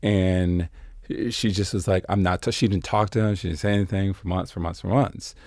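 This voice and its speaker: A man's voice: low-pitched, level tone, moderate pace, a little mellow, no room sound, clean studio sound. A man telling an anecdote casually as a panel guest. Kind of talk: casual storytelling.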